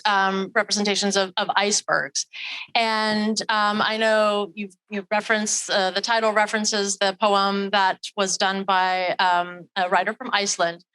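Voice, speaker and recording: A woman's voice: medium pitch, bright, forceful tone, poor audio. A woman who is an art program director, interviewing an artist on a gallery walkthrough in an encouraging, conversational way.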